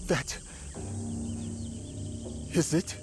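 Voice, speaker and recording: An actor playing an honourable, steadfast samurai in a video game, speaking in measured, formal phrases. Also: male voice, over music. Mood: grave. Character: honourable, steadfast male samurai swordsman, calm and respectful. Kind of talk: dramatic video game acting.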